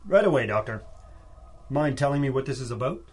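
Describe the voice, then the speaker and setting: A man's voice: medium pitch, animated, fairly quick, breathy edge. A man playing an earnest young lab assistant in a radio drama, polite and deferential with a dramatic delivery. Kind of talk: 1930s-style radio drama acting.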